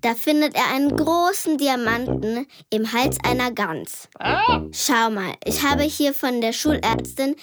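High-voiced, knowing child storyteller. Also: female voice, lively intonation, unhurried. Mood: scheming. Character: precocious older child narrating family adventures. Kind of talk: German radio play narration.

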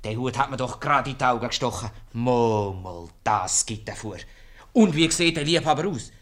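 Actor as an excitable, swooping Swiss bumbler. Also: male voice, mid-range voice, moderate pace, natural voice. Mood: puzzled, suspicious. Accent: Swiss German. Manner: exclaiming, repeating himself. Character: excitable, bumbling man owning up to a blunder. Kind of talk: 1950s radio comedy acting.

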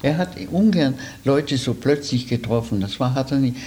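Male, mid-pitched, casual halting reminiscence that keeps correcting itself. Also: mellow. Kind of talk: casual recollection.

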